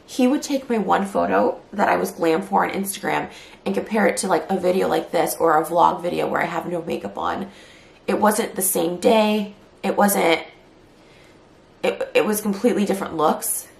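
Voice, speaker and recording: A woman in her early thirties records a vlog after very little sleep, hurt and upset, her speech full of fillers. Her voice has a gravelly fry under it. The sound bounces around an echoey room. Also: fairly low pitch. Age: 30s. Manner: tired, hurt and fast-talking, with many "like" fillers. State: short on sleep, near tears later. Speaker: YouTuber. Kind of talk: emotional response vlog.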